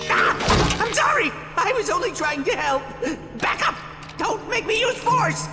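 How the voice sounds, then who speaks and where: A man's voice: very high voice, animated, measured pace, exaggerated voice. A man voicing a timid, frantic little creature in a video game, nervous and apologetic. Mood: frightened. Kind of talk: animated video game acting. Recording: long echo, loud music behind.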